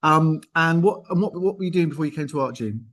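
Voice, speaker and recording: A man's voice: on the high side, quick pace, a crowd in the background. Enthusiastic, chatty man hosting. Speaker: host. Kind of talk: informal live online arts show presenting and interviewing.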